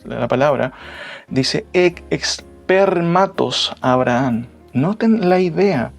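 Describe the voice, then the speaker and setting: Higher-voiced man teaching scripture over music. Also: a little mellow. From Chile. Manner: didactic, repeats key phrases, frequent rhetorical tag questions. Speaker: Bible teacher. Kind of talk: expository Bible teaching.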